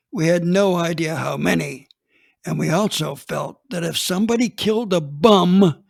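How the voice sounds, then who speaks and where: A man's voice: high-pitched, creaky. A man reading a dramatized first-person story as a police chief, plainspoken and matter-of-fact.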